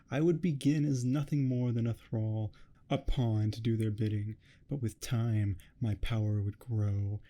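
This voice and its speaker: Very dark-voiced obsessive neighbor, acted by a man. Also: fairly low pitch, natural voice. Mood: wistful, fervent. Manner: theatrical, grandiose monologuing, smugly threatening. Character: obsessive male neighbor, vampire-obsessed, menacing and delusional. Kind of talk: ASMR roleplay, dramatic monologue.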